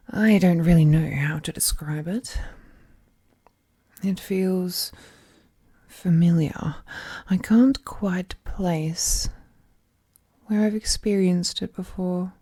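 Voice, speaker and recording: Woman performing as sweetly menacing godlike female captor, soft dark tone, languid pace, whispery, condescendingly affectionate, intimate audio roleplay. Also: on the low side. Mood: doting, uneasy. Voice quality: breathy, often dropping to a whisper. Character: ruthless, possessive, all-powerful female being, sweetly menacing captor.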